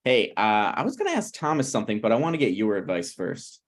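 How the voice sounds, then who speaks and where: A man's voice: fairly low pitch, sing-song, quick pace. A man playing an awkward, meddling friend in a sitcom table read, hesitant and apologetic yet blunt. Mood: sheepish. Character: awkward, opinionated, meddling male friend of a married couple. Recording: online video call table read.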